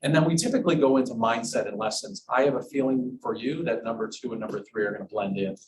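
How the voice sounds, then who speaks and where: A man's voice: mid-range voice, fast. A man, a professor hosting an interview in his university class, speaking in a chatty, enthusiastic way with restarts. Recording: distant classroom mic with room noise.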